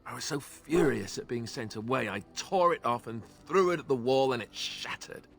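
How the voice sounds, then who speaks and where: An actor playing a wry, self-deprecating young male warrior in a video game, sarcastic and quick to deflect with jokes. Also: mid-range voice, expressive, vocal fry, fairly bright tone. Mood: regretful. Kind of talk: video game character dialogue.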